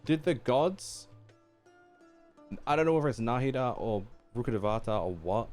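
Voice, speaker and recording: A man's voice: mid-range voice, expressive, moderate pace, darkish tone. A young man streaming a game with casual live reaction commentary, chatty and thinking aloud. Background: game music and dialogue.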